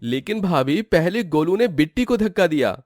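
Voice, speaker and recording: A man's voice: high voice, animated, moderate pace, a little mellow, dry recording, studio quality. A man playing an earnest family man who protests and reproaches in a melodramatic moral-story drama. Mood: protesting. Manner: protesting, indignant.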